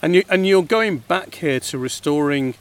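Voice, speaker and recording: A man hosting an interview podcast, pausing often with uhs and restarts. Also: on the high side. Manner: hesitant, many uhs and restarts. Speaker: podcast host.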